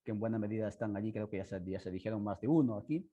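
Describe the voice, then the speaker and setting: Halting, mellow-voiced man hosting online. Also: on the low side, lo-fi sound. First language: not Spanish. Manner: halting, full of fillers and tag questions. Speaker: host of an online discussion. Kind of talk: informal spoken commentary to an online audience. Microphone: headset on a video call.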